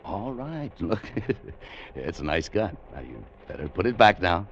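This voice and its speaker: An actor playing a cool, tough American adventurer, sardonic and slangy, in a 1940s radio drama. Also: male voice, deep voice, sing-song, unhurried. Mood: placating. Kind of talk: 1940s radio drama acting, first-person narration. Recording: muffled, noisy vintage radio recording.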